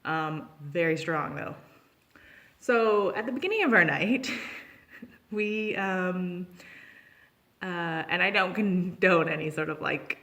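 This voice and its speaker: A woman's voice: on the low side, takes it slowly, long pauses. A young adult woman with a Canadian accent tells a personal anecdote in an informal, chatty vlog style. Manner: animated when acting out dialogue, informal. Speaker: storytelling vlogger. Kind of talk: casual anecdotal storytelling.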